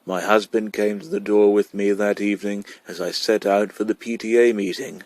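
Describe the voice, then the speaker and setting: A man's voice: lowish voice, level tone, normal speed, a little mellow, dry recording. A man reading a short story aloud as scripted narration. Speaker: amateur story reader.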